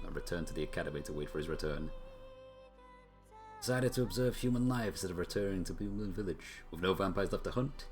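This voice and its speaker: A man streaming a game, reading story text aloud with brief casual remarks of his own. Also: low-pitched. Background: music.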